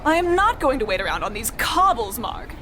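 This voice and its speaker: An actress playing a fussy, complaining American tourist wife in a comedic radio play, prim and American-accented. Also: female voice, on the high side, sing-song, brisk, pushed and bright, faint music behind. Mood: indignant. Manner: prim, indignant.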